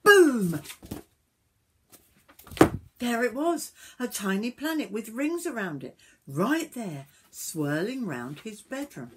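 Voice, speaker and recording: A woman in her sixties reading a picture book aloud to young children like a grandmother, expressively, with drawn-out words and little asides to the listener. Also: on the high side, very animated, a little hiss or hum. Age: 60s. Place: small wooden summerhouse.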